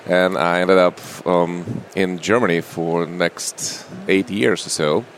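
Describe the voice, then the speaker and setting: A man's voice: sits low, steady tone, a little slow, a little hiss or hum, poor audio. A middle-aged man with a Russian accent, speaking as a guest in a casual interview. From Russia, Saint Petersburg.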